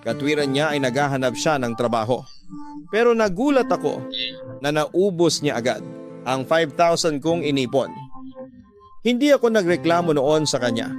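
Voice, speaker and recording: A Filipino man with an accent from the Philippines, reading a scripted dramatic story as a radio drama host. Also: a little high.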